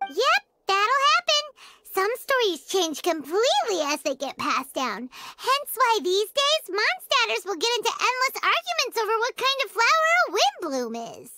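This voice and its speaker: Casting: pint-sized chirpy fairy sidekick for an anime game; childlike, squeaky, very high, highly animated. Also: female voice, moderate pace, slight background noise, exaggerated voice. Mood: chatty, knowing. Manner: bubbly, exaggerated cartoon delivery. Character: tiny floating girl fairy companion, chirpy, excitable, childlike. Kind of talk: anime video game voice acting. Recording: studio voice over game music.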